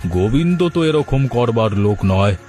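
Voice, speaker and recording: An actor playing a wealthy, credulous and commanding village landlord in a cartoon story. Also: male voice, mid-range voice, expressive, normal speed, a little mellow, natural voice. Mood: stern. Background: background music.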